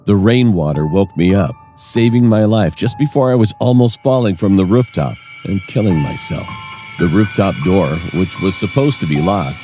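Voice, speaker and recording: A man with a low, deep voice narrates a scripted horror story in the first person. His tone is dark and mellow, and he tells it in a measured, dramatic way, with music underneath.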